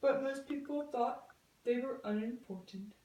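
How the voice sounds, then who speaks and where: A woman's voice: fairly high pitch, measured pace, dark tone. A young woman narrating a scripted educational puppet show in an informal, chatty explaining way. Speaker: student presenter. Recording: echoey room, slightly distant mic.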